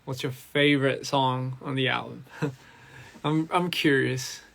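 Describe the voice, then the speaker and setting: A man with a slightly raspy, hoarse voice after a recording session, chatting casually with fans on a live stream, moving between Korean and English. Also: mid-range voice, steady tone, slow, fairly bright tone. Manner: switches between Korean and English, laughs often, reads comments.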